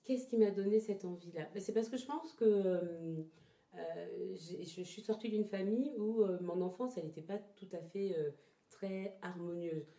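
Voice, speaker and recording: A woman speaks in a very soft-toned, dark and relaxed voice that sits slightly low. She answers an interviewer reflectively, often pausing and repeating words as she looks for the right phrase. The recording is roomy, with audible echo. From born in Togo, lived in Ghana, moved to France as a child. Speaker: interview guest, traditional therapy practitioner. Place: indoors in a house. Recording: roomy, echoing indoor sound.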